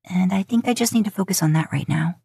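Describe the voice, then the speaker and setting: A woman's voice: fairly low pitch, fast, vocal fry, warm tone, husky, no room sound, clean studio sound. A woman voicing a cautious, flustered young woman with a hesitant, guarded manner in audiobook dialogue. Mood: gently declining.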